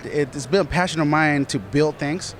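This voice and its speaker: An earnest, driven man from Colombia describes building an art business, his voice slightly raised in pitch. He talks energetically, often circling back over his own words. Faint outdoor noise is heard around him. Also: moderate pace. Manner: earnest, driven, repeats himself. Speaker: studio manager and business partner. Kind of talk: casual interview answers.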